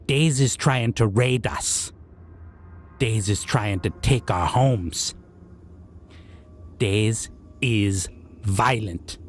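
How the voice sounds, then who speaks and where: A man performs as a civilized goblin king in a fantasy audio drama, stern and menacing. His lowish voice swings expressively through strange broken grammar, taking its time over every question. Faint noise sits behind him. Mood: indignant. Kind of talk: scripted roleplay audio drama monologue.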